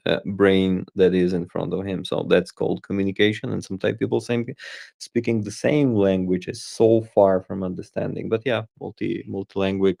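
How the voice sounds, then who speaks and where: A man with a low, gravelly voice speaks slowly and haltingly, often stopping to search for the right English word. The sound is dry and close, with no room echo. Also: mellow. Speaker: volunteer translator. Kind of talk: casual chat.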